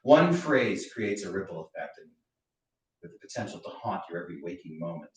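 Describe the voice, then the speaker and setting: A man's voice: low-pitched, big pitch swings, a little fast. A man playing a bitter, drunken man tormented by grief and guilt in a staged reading, with a mocking, theatrical, needling manner. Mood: grieving, subdued. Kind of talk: dramatic monologue in a staged play reading. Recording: roomy, echoey, distant mic.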